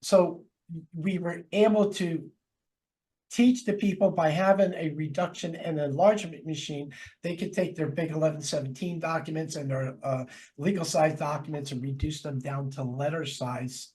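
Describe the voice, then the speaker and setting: A man's voice: fairly high pitch, steady tone, moderate pace, warm tone, low-quality recording. A man from New Jersey with a New York accent, co-hosting a casual chat and telling a rambling story with hesitant fillers. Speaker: podcast co-host.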